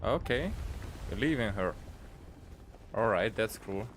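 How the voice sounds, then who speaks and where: A male game streamer with a fairly low voice comments casually as he plays. His delivery is dry and hesitant, with faint noise behind him. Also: lively intonation, slow pace. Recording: faint background rumble.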